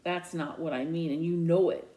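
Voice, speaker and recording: A man's voice: a little high, fairly even intonation, moderate pace, mellow, close to an everyday voice. A woman voicing a resentful, sarcastic middle-school boy in a children's novel read aloud. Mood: suspicious, demanding. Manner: indignant, sarcastic.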